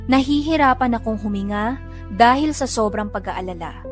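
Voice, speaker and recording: A woman's voice: a little high, moderate pace, over music, natural voice. A woman with a Filipino accent narrating in first person as a worried mother, in a sorrowful scripted drama style. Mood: sorrowful, anxious.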